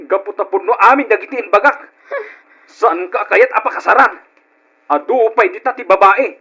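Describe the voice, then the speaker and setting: A callous young man with a high-pitched voice and an Ilocano accent. He is curt and dismissive, in old radio drama style. The recording is dull and lo-fi. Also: normal speed, bright, forceful tone, husky, exaggerated voice. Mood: scornful. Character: cold, callous young man rejecting his partner.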